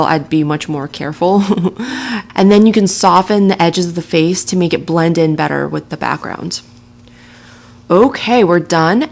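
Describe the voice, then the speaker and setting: A woman with a slightly low voice walks through a software tutorial step by step. Her delivery is relaxed and conversational, with light laughs here and there. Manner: casual and chatty, with light laughs. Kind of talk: screen-recording tutorial narration.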